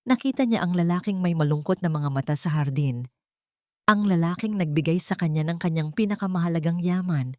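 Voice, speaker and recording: Female storyteller for romance audio drama, lower register, scripted delivery, dry studio-like sound. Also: darkish tone, dry recording. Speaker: story narrator.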